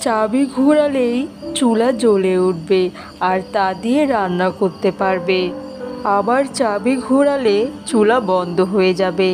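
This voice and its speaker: A woman voicing a mysterious female spirit from the underworld in a cartoon fairy tale, commanding and deliberate, stern yet benevolent. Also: medium pitch, lightly acted. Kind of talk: animated fairy tale dialogue. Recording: voice over light background music.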